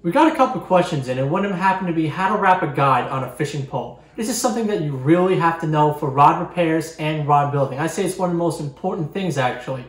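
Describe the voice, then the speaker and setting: Young man calmly talking through a how-to. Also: fairly high pitch, fast, hardly any pauses, poor audio. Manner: steady step-by-step instruction. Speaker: magazine editor giving a tutorial. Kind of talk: how-to tutorial.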